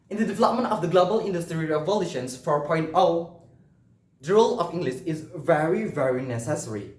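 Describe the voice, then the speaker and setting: A young Indonesian man with an Indonesian accent delivers a formal contest speech in a slightly high voice. He speaks in a rhetorical way and keeps turning to address the audience. The recording is roomy and echoey, and he sounds some distance from the mic.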